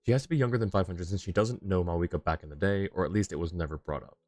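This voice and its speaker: A man's voice: low voice, very fast, a little mellow, no room sound. A man streaming a game live, chatting casually and laughing often with muttered asides. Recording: game music faint behind.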